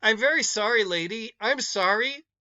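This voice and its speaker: A scared, skinny teenage boy in a storybook, played by a man reading aloud. He is meek and apologetic, pleading in short answers, with a slightly high pitch and a dry sound. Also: moderate pace, pushed and bright, clear, resonant tone, dry recording, lo-fi sound. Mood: frightened, pleading. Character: frightened frail teenage boy caught stealing.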